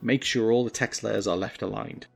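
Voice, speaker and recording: A man narrating a scripted software tutorial, giving clear step-by-step instructions with wry, self-deprecating asides. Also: on the low side, steady tone, normal speed, soft background music. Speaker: tutorial presenter.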